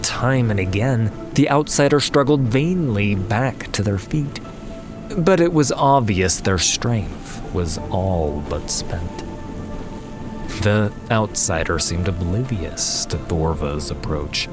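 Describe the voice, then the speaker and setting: A man narrating a fantasy audiobook in a dramatic, measured and solemn scripted style. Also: on the low side, expressive. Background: music.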